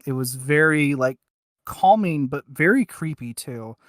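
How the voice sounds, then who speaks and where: A man with a fairly high-pitched voice talks as a podcast co-host. He rambles casually and haltingly through an unscripted review, leaning on filler words. The sound is dry, with very little room. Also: lively intonation, no echo. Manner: hesitant and rambling, with many filler likes.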